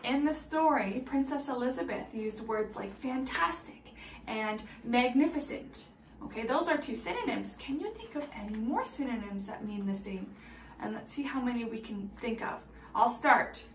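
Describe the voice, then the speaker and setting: A woman's voice: a little high, expressive, normal speed, reverberant, a little hiss or hum, rough recording. A woman teacher reading a storybook aloud expressively and prompting young listeners with questions.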